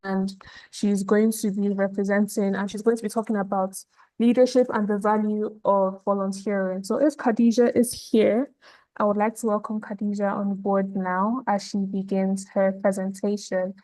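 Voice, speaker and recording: Flat-voiced female summit moderator. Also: mid-range voice, no echo, poor audio. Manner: measured, formal, with fillers. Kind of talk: formal event introduction. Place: online session.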